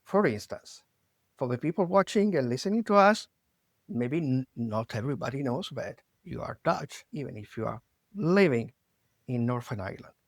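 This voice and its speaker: A man from Italy with an Italian accent, hosting an interview in a halting way, searching for words with many fillers and restarts. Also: medium pitch, animated, dry recording, studio quality. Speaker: podcast host.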